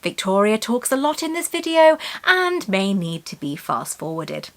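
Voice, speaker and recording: A woman voicing a formal female announcer, reading a notice in a slow, stiff, official way. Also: medium pitch, very animated. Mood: mock formal.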